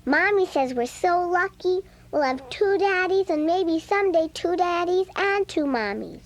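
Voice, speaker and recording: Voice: extremely high pitch, animated, unhurried, heavily acted. A performer playing a chatty, candid five-year-old girl in an audio drama, childlike and eager. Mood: curious, candid.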